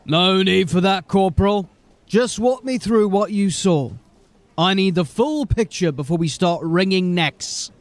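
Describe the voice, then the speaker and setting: A man playing a stern, hard-bitten, commanding police sergeant in a dark fantasy audio drama. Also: high-pitched, unhurried, no echo. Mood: impatient, stern. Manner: curt, commanding.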